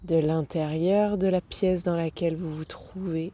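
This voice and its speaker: Woman, yoga nidra teacher, guided meditation, breathy husky tone, dark and relaxed, unhurried, gentle, long pauses. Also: fairly low pitch. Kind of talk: guided meditation, soothing instruction.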